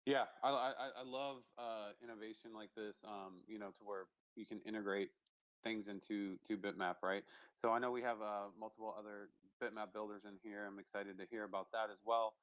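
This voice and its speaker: A man's voice: fairly low pitch, fairly even intonation, a little fast, fairly bright tone, no room sound, low-quality recording. A man hosting a live online audio chat, upbeat and casual, thanking speakers and prompting questions. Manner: upbeat, many fillers and restarts while hosting. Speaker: host.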